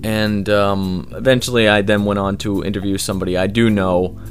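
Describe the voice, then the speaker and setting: Young guy voice actor chatting, low-ish voice, lots of um and uh, quiet music under it. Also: flat delivery, moderate pace. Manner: rambling, many fillers and restarts. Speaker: voice actor interviewee. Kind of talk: casual interview answers.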